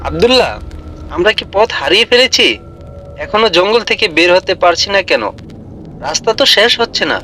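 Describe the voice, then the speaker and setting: A man's voice: high voice, animated, fairly quick, long pauses, music in the background. A man playing an anxious, easily frightened young village lad in a cartoon, with a worried way of talking. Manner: worried, questioning.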